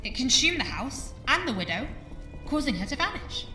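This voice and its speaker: A woman playing a brisk, bossy television ghost-hunt presenter in an audio drama. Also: sits high, big pitch swings, bright, forceful tone, full character voice. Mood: dramatic narrating. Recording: roomy echo, faint music behind.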